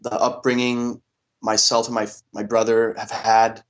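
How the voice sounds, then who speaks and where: A young man from Denmark answering interview questions in a relaxed way, with many fillers and restarts. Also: medium pitch, a little slow, muffled recording. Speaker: interview guest, paddle athlete.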